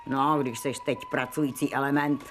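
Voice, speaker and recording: A homely housemaid talks in a plain, colloquial and bossy way, with care beneath the scolding. It is a radio drama, with music clearly heard behind her. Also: very deep voice, steady tone. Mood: scolding, motherly. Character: plain-spoken, bossy but caring female house servant.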